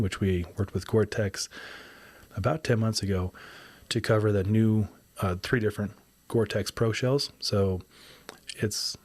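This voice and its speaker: A man hosting a podcast whose voice is raspy from a windy day of shooting, talking casually in a rambling, upbeat way. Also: lowish voice, a little mellow. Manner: rambling, upbeat, self-correcting. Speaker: podcast host. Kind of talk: casual solo podcast update.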